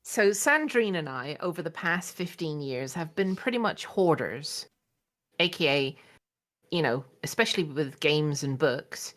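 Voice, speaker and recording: Low-voiced woman chatting and laughing. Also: a bit bright. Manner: laughs often, dry and teasing. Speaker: guest. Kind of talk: casual chat on a live stream.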